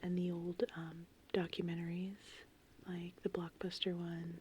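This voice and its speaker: A woman in her thirties whispering softly and breathily in an informal, rambling ASMR style. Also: on the low side, a little slow, slight background noise. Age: 30s. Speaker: ASMR channel host. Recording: steady rain and thunderstorm ambience behind. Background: gentle rain and thunder.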